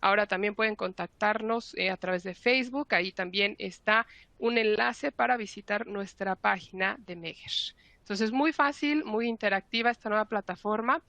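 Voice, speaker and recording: A woman from marketing, hoarse from illness, welcoming viewers to a webinar in a friendly, explanatory way with many fillers. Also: mid-range voice, normal speed, fairly bright tone, no room sound. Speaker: marketing presenter. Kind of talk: webinar host introduction.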